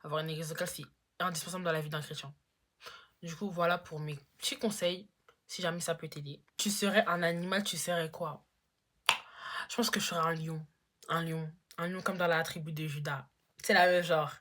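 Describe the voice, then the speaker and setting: A woman's voice: sits low, fairly even intonation, fairly quick, a bit bright. A teenage girl in a casual Q&A vlog, chatty and slangy, full of fillers. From Congo-Brazzaville. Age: teens. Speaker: YouTuber.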